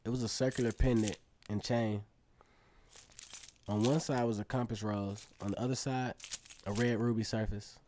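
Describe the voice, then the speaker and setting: A man's voice: fairly low pitch, fairly even intonation, moderate pace, dark tone, slight background noise, natural voice. An actor playing an eager young student who narrates his own story earnestly in a radio drama. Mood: wondering. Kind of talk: scripted first-person radio drama narration.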